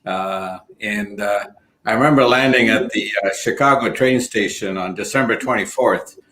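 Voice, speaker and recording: A man in his fifties from Sri Lanka, a financial advisor speaking as an interview guest over a video call, measured and reflective. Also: on the low side, bright, forceful tone. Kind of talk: interview conversation.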